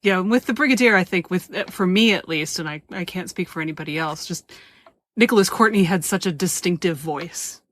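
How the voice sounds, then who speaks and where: Female host, slightly low voice, informal and exclamatory, recorded dry. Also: fairly quick, fairly bright tone, no room sound. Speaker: interview host. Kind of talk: casual interview chat.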